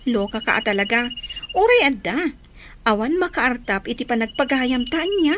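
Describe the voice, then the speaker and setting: A woman's voice: on the high side, animated, moderate pace, background noise, lightly acted. A woman playing a familiar, frank, teasing visitor in a radio drama, with an Ilocano accent. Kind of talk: Filipino radio drama acting.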